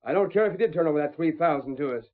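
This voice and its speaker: A surly outlaw henchman voiced by a man grumbles with folksy American cowboy speech in a fairly high voice. It sounds like a tinny old radio western. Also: animated, racing, lightly acted. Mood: suspicious. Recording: tinny, band-limited vintage radio recording.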